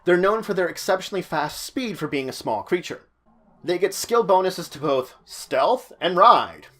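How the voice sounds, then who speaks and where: Higher-pitched man explaining a tabletop game. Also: expressive, moderate pace, sharp tone. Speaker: presenter of tabletop roleplaying guides. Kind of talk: semi-scripted explanatory commentary.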